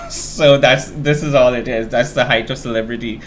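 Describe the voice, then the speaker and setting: A man's voice: medium pitch, steady tone, moderate pace, fairly bright tone. Casual male show host, mid-range voice, rambling and self-deprecating, recorded with slight background noise. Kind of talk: casual interview chat.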